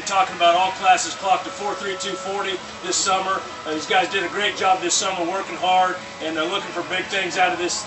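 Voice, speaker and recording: Eager man coach, far and echoey. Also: sits high. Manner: enthusiastic, halting with fillers. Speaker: wide receivers coach. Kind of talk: short remarks to a crowd. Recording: distant echoey mic with noise.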